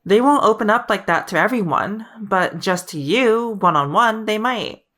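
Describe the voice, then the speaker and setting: A woman's voice: medium pitch, lively intonation, normal speed, a bit bright, close to an everyday voice. A woman playing a frank, worried teenage heroine in an audio drama, direct and persuasive in conversation. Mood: pressing.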